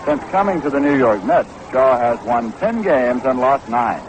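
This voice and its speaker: A man's voice: medium pitch, animated, moderate pace, muffled recording. Noisy vintage radio baseball announcer, American man. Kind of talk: 1960s radio sports commentary.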